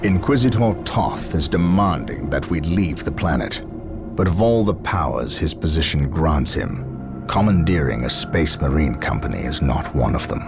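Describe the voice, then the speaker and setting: An actor playing a stern, proud space marine commander in a video game, gravelly and solemn, with formal, commanding speech. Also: male voice, rumbling low, exaggerated voice. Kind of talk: dramatic video game voice acting.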